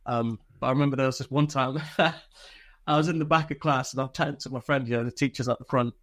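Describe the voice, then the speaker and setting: A man's voice: medium pitch, steady tone, brisk, fairly bright tone. A man telling personal stories casually as an interview guest, chatty and informal, swearing freely and often chuckling. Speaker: interview guest, former soldier.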